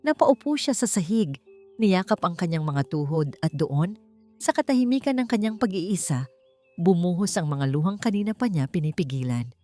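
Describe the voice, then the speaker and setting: A Filipino-accented woman narrates a dramatic audiobook story. Her voice sits somewhat low, and soft music plays behind her scripted delivery. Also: very animated, moderate pace, dry recording.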